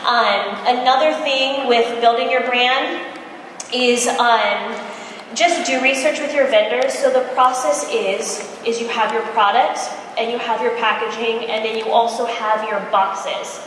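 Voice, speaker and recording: A woman's voice: a little high, a little slow. American woman giving a chatty talk on stage, super echoey room, bit of background noise. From Michigan, United States. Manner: chatty, animated storytelling with acted-out quotes. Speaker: entrepreneur speaker, cosmetics brand founder. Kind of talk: informal conference presentation with anecdotes. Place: large indoor expo conference room, on stage. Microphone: handheld microphone through PA, recorded from audience.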